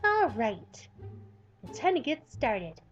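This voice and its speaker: A woman's voice: on the high side, sing-song, takes it slowly, lo-fi sound, soft background music. A woman putting on a perky teasing girl. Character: bossy, scheming young girl. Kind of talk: voicing a visual novel character.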